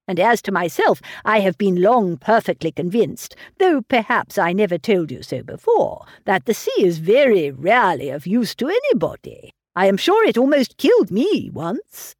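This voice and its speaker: A woman reading aloud voices a fussy, anxious elderly gentleman fretting over his grown daughter. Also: medium pitch, big pitch swings, moderate pace, hardly any pauses, no echo, clean studio sound. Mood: fretful. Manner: fretful, coddling. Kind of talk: audiobook character reading.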